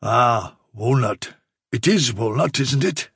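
An old chief rabbit from a storybook acted out by a man, slightly husky and closely recorded. He is courteous to a fault, vague and condescending, drawing out his phrases to put off whoever he addresses. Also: medium pitch, animated, a bit bright, dry recording, studio quality, heavily acted. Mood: genial, vague. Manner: bumbling, over-courteous, drawn-out patronising phrasing. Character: elderly male Chief Rabbit, politely condescending, vague and evasive.

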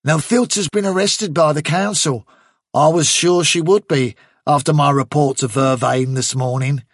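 A man's voice: on the high side, fairly even intonation, normal speed, no room sound, clean studio sound. A man voicing a bossy male rabbit captain in an audiobook, giving clipped military orders. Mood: brisk, commanding. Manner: clipped officer's commands.

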